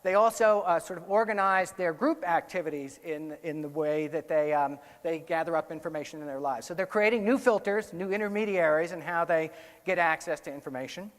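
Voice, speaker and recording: A man's voice: fairly high pitch, brisk. A man with an American accent gives a conference keynote on research findings in a conversational way, often correcting himself. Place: indoor conference hall, on stage. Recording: echoey hall with long reverb.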